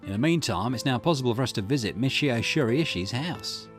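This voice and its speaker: A man's voice: medium pitch, lively intonation, a little fast. Man reading game dialogue aloud over music. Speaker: gaming channel host reading a visual novel aloud.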